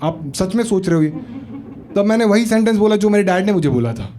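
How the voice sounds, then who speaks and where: A man's voice: high-pitched, expressive, fairly quick, dark tone, slight background noise. A man doing stand-up comedy, telling a story in a chatty way and asking the crowd questions. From Maharashtra, India. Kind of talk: stand-up set with crowd work. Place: comedy club stage. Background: audience laughter.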